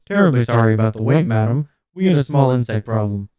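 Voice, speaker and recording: A courteous old robot butler, acted out by a man for a story reading. The voice is mid-pitched and soft-edged, very clean and smooth, in a dry close recording. It speaks with formal, apologetic politeness, like a lonely museum guide eager to serve. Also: expressive, fast talker, no echo, close to an everyday voice. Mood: politely apologetic.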